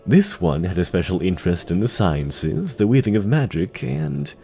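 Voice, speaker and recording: A man's voice: sits low, lively intonation, normal speed, vocal fry, warm tone, a little hiss or hum. A man reading scripted fantasy story narration as the narrator of an audio drama.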